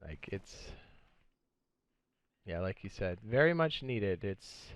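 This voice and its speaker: A man's voice: fairly low pitch, slow pace, smooth, no room sound. A man streaming a video game, talking casually and thinking aloud as he plays. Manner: relaxed, chatty, thinks aloud while playing. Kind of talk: casual live gameplay commentary.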